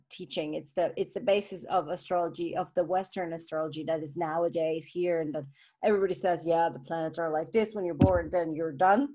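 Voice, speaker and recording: A woman from Switzerland co-hosting a podcast, talking casually with animated restarts and fillers. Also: fairly low pitch, dull audio. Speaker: podcast co-host. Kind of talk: casual conversation.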